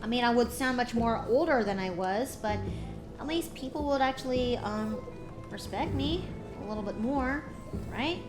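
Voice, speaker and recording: A woman's voice: a little high, lively intonation, normal speed. A young woman streamer chatting casually, rambling with many restarts and fillers. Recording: music playing behind.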